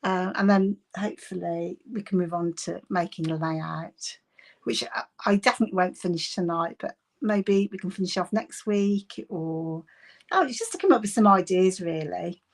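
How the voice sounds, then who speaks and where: A woman's voice: fairly low pitch, normal speed. A woman with a Bristolian accent hosting a live craft session from home, chatty and giggly, greeting viewers by name. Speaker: craft livestream host. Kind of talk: casual live craft chat. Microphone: phone camera on desk.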